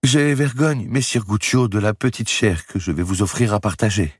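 A man's voice: lowish voice, normal speed, slightly rough, dry recording, studio quality, voice pushed up. A man voicing an impoverished noble widow who speaks formally and apologetically. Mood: ashamed.

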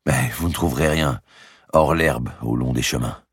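A man's voice: very deep voice, normal speed, slightly rough, no echo, studio quality, heavily acted. A man voicing a bearded, impoverished young nobleman who speaks in a grim, resigned way.

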